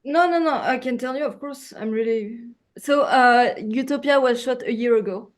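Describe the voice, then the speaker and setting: French-accented woman, fairly high voice. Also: moderate pace. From France. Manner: searching for words, frequent fillers and restarts. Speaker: actress, guest. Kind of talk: casual interview over video call. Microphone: video call.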